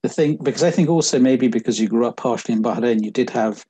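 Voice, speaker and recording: A man interviewing a guest, asking measured questions with hesitations. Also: medium pitch, mellow, breathy edge. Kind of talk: conversational interview.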